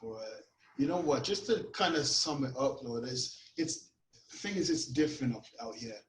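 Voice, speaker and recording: A man from Canada with a Caribbean-tinged Toronto accent, a podcast guest telling animated stories in casual chat over a video call. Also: mid-range voice, moderate pace, echoey room, slight background noise, poor audio. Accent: Toronto, Caribbean-influenced. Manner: animated storytelling, lots of "you know what". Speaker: podcast guest, grime artist.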